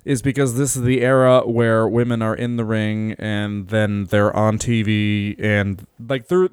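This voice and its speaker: Podcast co-host, male, smooth clear tone, dry close sound, laughs a lot. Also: fairly low pitch, measured pace, no echo. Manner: laughs often, animated storytelling. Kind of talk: casual podcast chat.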